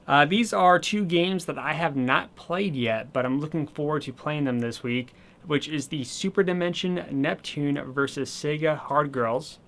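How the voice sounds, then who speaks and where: Casual, rambling male vlogger, a touch hoarse, voice pitched a bit high. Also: measured pace, fairly bright tone. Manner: chatty and rambling, with many fillers. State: slightly hoarse, losing his voice. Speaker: gaming channel host. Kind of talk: casual video game haul vlog.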